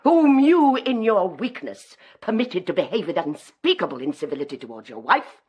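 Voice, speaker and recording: A British actress playing a domineering, haughty bishop's wife in a period radio drama, speaking with emphatic scorn. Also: female voice, lowish voice, sing-song, normal speed, slightly rough, dull audio. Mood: stern reproach.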